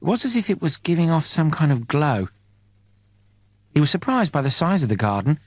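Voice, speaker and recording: A man reading an audiobook as a dramatic, suspenseful narrator in deliberate short phrases. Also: a little high, big pitch swings, no room sound.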